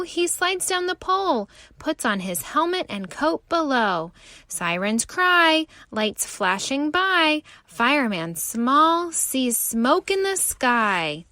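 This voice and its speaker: A woman reads a picture book to young children in a high voice, unhurried and theatrical. Her pitch swings widely as she tells the story, in the expressive style of a storytime host. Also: no room sound, studio quality. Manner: animated, theatrical delivery.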